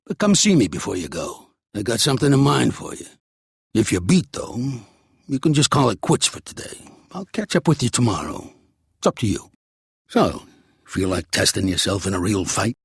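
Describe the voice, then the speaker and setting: Raspy, gravelly older American mobster. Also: male voice, fairly low pitch, very animated, moderate pace, no echo, studio quality, heavily acted. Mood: fatherly, steady. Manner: blunt, slangy, commanding. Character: gruff, streetwise older mobster mentoring a young fighter. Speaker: voice actor. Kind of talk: video game character acting.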